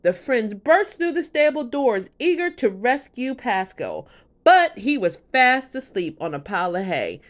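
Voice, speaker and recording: A woman narrates a children's bedtime story. Her voice sits fairly high and moves with lots of animated intonation, in an expressive storytelling style. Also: measured pace.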